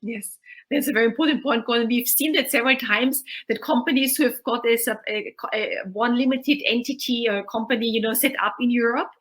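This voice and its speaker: A woman's voice: on the high side, fairly even intonation, a little fast, a bit bright. A woman from Austria, hosting a panel discussion in a chatty way, often filling in with "you know". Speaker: host and moderator. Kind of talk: webinar panel moderation.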